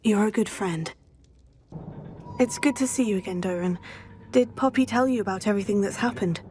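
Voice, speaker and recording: An actress playing an earnest young female student witch who speaks calmly and reassuringly in a video game. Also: medium pitch, normal speed, slight background noise, lightly acted.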